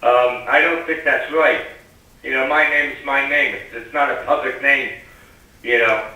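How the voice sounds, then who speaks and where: Guy griping on ham radio, emphatic, really sharp forceful voice, big echo. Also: mid-range voice, steady tone, unhurried. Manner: emphatic, complaining. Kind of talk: casual opinionated chat. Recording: very echoey, distant radio link.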